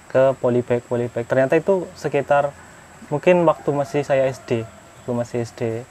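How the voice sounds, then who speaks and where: A man's voice: mid-range voice, normal speed, a little mellow, a little hiss or hum. Modest farmer in his thirties. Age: 30s. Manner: plain, modest, hesitant with fillers. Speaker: young farmer guest. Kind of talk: casual interview answers. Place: outdoor greenhouse on a hillside.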